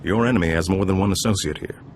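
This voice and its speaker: An actor playing a suave older gambler and information broker in a video game, smooth-talking, theatrical and pleased with himself. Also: male voice, sits low, quick pace, a little hiss or hum, close to an everyday voice. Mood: confiding. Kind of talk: video game dialogue acting.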